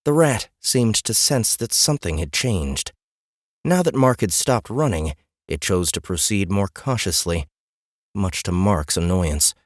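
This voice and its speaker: A man's voice: low-pitched, lively intonation, measured pace, no room sound, studio quality. A man reading scripted, dramatic narration as the narrator of a fantasy audiobook.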